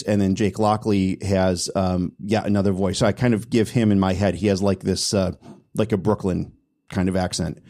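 Low-voiced man on a chatty podcast. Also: dark tone. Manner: stammering restarts, enthusiastic. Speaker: podcast host. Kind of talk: casual chat.

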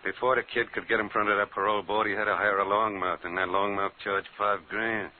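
A man plays a menacing gangster in a 1950s radio crime drama. His voice is deep and his talk is impatient tough-guy slang. The recording is narrow and faintly hissy. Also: little pitch movement, fairly quick, close to an everyday voice. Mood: threatening. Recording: hissy, band-limited old radio broadcast.

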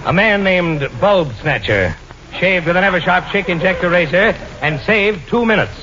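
An American man announces from a script in 1940s radio style. His voice sits high, and the old recording is dull with clear background noise. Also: expressive, normal speed.

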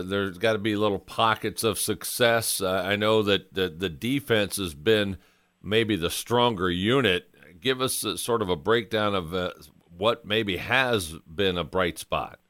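A man's voice: fairly low pitch, a little slow, a bit bright, no echo, studio quality. A man hosting a sports interview, conversational as he sets up questions and comments. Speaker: sports radio host, interviewer.

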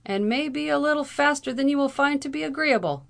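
A woman narrator plays a bold lawyer in an old storybook tale. He is brisk, commanding and drily amused, speaking up firmly with a creaky edge. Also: sits high, animated, fairly bright tone, exaggerated voice. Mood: brisk confidence.

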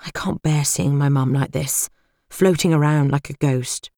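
A woman's voice: low voice, steady tone, normal speed, dark tone, no room sound, studio quality. A British woman reading first-person fiction as an audiobook narrator.

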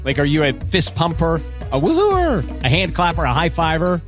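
A man with a fairly high, lively voice gives a playful, chatty ad read. Music runs behind him. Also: moderate pace. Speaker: ad announcer. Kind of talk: upbeat ad read.

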